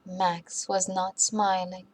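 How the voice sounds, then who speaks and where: A woman reading a children's bedtime story aloud in an expressive, deliberate way for young listeners. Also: lowish voice.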